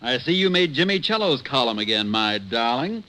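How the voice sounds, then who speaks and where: An actor playing an irritable, sarcastic wealthy husband in an old-time radio crime drama. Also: male voice, medium pitch, big pitch swings, moderate pace, bright, forceful tone, poor audio. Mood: bitter anger. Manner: sarcastic, biting. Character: irritable, sarcastic wealthy husband at bitter war with his wife. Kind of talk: 1940s-50s radio drama acting. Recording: old radio recording with faint noise.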